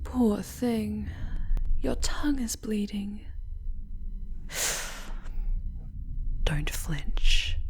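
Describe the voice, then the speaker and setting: A woman playing a seductive, sadistic dark elf noblewoman in an intimate ASMR roleplay, breathy and often whispering, haughty and commanding. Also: lowish voice. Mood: soft threatening. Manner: slow, sultry, haughty and commanding. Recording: clearly audible background noise.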